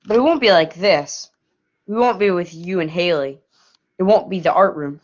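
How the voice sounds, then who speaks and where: A woman's voice: fairly low pitch, moderate pace, natural voice. A young woman voicing a downcast schoolboy in a story reading. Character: downcast schoolboy missing his friends. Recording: dull lo-fi recording.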